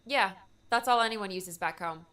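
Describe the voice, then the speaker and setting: A man's voice: sits high, normal speed, pushed and bright, voice pushed up. A man voicing a nervous, curious young woman in an audiobook, with a hesitant, questioning manner. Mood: unsure. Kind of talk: audiobook character voice.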